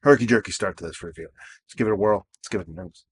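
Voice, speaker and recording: A man in his thirties, hoarse from losing his voice, casually reviewing a beer with rambling, self-deprecating asides and lots of fillers. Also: on the low side, fast. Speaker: beer reviewer and show host. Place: outdoors.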